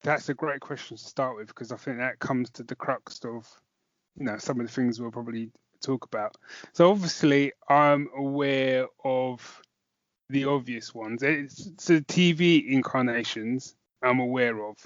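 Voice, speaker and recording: A man's voice: medium pitch, normal speed, no echo. A man co-hosting a review podcast in a casual chatting style. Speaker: podcast co-host. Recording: dull, dry podcast audio.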